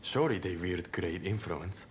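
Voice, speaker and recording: An actor playing a wary, thoughtful samurai ally in a video game, with a measured, pensive delivery. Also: male voice, low voice, a little hiss or hum, close to an everyday voice. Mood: pensive, doubtful. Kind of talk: dramatic video game cutscene acting.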